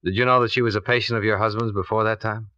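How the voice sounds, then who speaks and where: A man's voice: lowish voice, fairly even intonation, quick pace, sharp tone, no echo. A man playing a blunt, dogged insurance investigator in a 1950s radio detective drama, speaking in a clipped, pointed way. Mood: stern, pressing. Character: dogged, blunt male insurance investigator narrating his case. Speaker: lead actor and narrator. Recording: dull lo-fi old radio recording.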